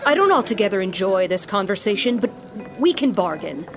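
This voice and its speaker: A haughty merchant boss played by a woman bargains with dry, threatening condescension. The pitch is mid-range, over faint music, in a fantasy audio drama. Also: big pitch swings, a little fast. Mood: cold, condescending.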